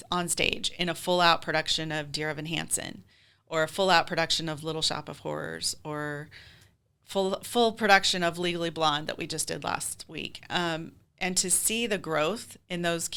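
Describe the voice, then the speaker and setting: A woman's voice: deep voice, bright, forceful tone, clear, resonant tone. A middle-aged woman answering interview questions as a community theater leader, speaking in an earnest, heartfelt, conversational way.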